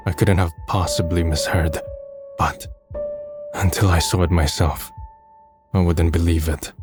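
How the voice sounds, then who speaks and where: Man acting as a downcast young man, very low voice, subdued introspective narration, manga dub, music underneath. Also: steady tone, a little slow. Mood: somber, introspective. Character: downcast, brooding young man recounting his story. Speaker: narrator and lead character. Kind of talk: first-person dramatic narration in an amateur manga dub.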